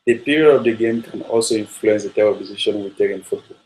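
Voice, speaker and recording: A man from Nigeria, a football coach giving a measured talk on his coaching philosophy with frequent fillers. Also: on the low side, a little mellow, slight background noise, poor audio.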